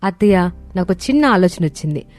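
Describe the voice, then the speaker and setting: A woman's voice: on the low side, lively intonation, brisk, darkish tone, no echo, lightly acted. A woman voicing a clever, respectful young daughter-in-law in a cartoon family story, polite and earnest. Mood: eager, polite. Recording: music bed under voice.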